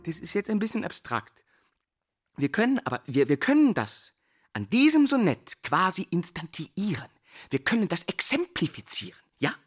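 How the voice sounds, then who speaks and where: An actress playing a musing teacher lecturing on poetry in a radio drama, incantatory and repeating syllables. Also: female voice, lowish voice, big pitch swings, normal speed, breathy edge, soft background music. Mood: lecturing, poetic. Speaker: actor.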